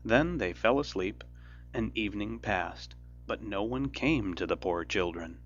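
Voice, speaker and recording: A man reads a fairy tale in a measured storybook style. His pitch rises and falls in a very lively way, with music underneath and slightly muffled sound. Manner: measured, expressive storybook delivery. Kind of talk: scripted fairy tale narration.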